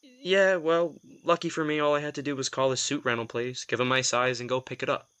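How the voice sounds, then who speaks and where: A man's voice: medium pitch, flat delivery, a little fast, a bit bright, smooth, lightly acted. A man acting a reluctant vampire in an audio drama, a sardonic, put-upon office worker who tells things in a wry, hesitant, self-deprecating way. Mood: animated storytelling.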